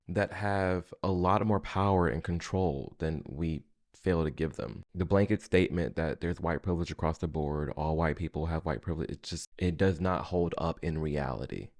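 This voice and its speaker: A man's voice: low-pitched, normal speed, hardly any pauses, a little mellow. A man hosting a reaction video, commenting casually in colloquial words and chiming in to agree. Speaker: video host reacting to a clip.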